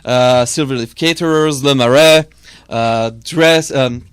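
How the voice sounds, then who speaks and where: A man in his thirties, a studio guest, chatting casually and running through a list with many pauses and uhs. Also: medium pitch, clean tone, no echo. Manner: lists names with many uhs. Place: small cluttered radio studio. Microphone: studio mic.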